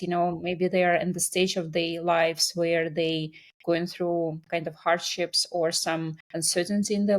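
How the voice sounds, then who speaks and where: Ukrainian-accented woman, low voice. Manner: non-native English with grammar slips, many fillers. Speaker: podcast guest. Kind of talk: casual storytelling interview.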